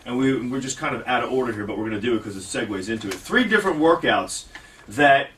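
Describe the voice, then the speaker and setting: A man's voice: mid-range voice, expressive, quick pace, fairly bright tone, distant mic, a little hiss or hum. Rambling man hosting a radio fitness show. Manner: rambling, self-mocking, restarts often. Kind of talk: casual radio talk. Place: radio studio.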